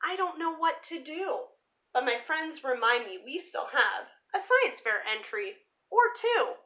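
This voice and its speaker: Bright, high-pitched young woman presenting for children. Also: sing-song, unhurried. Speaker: teacher reading a picture book aloud for children. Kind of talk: storybook read-aloud and presentation for children. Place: small living room or room at home, indoors.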